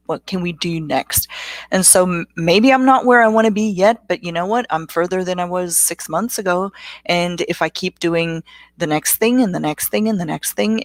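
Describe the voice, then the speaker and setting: A woman's voice: fairly low pitch, no echo. Motivational middle-aged woman guest. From South Africa. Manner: motivational, reflective. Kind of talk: casual interview answers.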